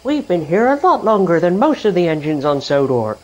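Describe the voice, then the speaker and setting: A woman's voice: fairly low pitch, sing-song, fairly quick, muffled recording. A woman playing a kindly, concerned long-serving rescue crane in an audio drama. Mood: somber.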